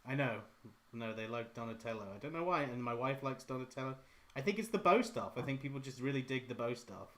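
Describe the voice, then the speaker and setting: Man, 30s, chatty, animated, bright tense voice. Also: fast. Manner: animated, jokey, goes high and loud when quoting people. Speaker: artist and co-host. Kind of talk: casual chat on a live stream.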